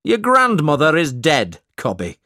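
A man reading aloud puts on a cruel schoolmaster from a storybook, all bluster and threats, his voice raised well above the narration and swinging wildly up and down. The delivery is slow and heavy, and it sounds dry and close, with no room around it. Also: a little high, wildly swooping pitch, no room sound, voice pushed up, full character voice. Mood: bullying. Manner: loud, blustering and bullying. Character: cruel, boastful male schoolmaster, middle-aged. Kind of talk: audiobook character reading.

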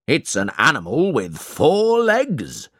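A man reading aloud puts on a cruel schoolmaster from a storybook, all bluster and threats, his voice raised well above the narration and swinging wildly up and down. The delivery is slow and heavy, and it sounds dry and close, with no room around it. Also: mid-range voice, huge pitch swings, no room sound, pitched above the performer's own voice, heavily acted. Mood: bullying. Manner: loud, blustering and bullying. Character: cruel, boastful male schoolmaster, middle-aged. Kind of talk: audiobook character reading.

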